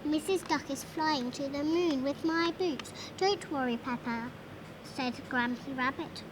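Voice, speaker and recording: Slow, high-pitched five-year-old girl reading aloud. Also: background noise. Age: child, about five. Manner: halting, sounding out words carefully. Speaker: young reader. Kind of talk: reading a children's storybook aloud.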